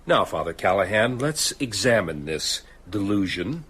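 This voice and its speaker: A domineering, rational father in a radio drama. The man is husky and formal, questioning pompously with sharply varied intonation. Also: fairly low pitch, sing-song, unhurried, a bit bright, full character voice. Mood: stern, disbelieving.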